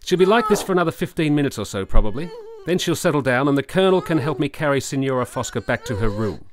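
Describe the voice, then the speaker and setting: Actor as unruffled period gentleman, male: quick, brisk, practical, slight background noise. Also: mid-range voice, expressive, close to an everyday voice. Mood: casual, unruffled. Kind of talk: period radio drama acting.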